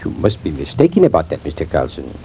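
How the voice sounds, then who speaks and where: An actor playing a grave, professional doctor in a measured, formal 1950s American radio drama style. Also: male voice, extremely low pitch, huge pitch swings, exaggerated voice. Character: grave, professional, plain-spoken male doctor. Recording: dull, hissy vintage radio recording.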